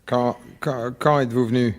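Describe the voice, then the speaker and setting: A man's voice: mid-range voice, fairly even intonation, normal speed, natural voice. Anxious, hesitant working husband played by a man. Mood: subdued, uneasy. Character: harried husband, an anxious office employee, defensive and weary. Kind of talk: French radio drama acting.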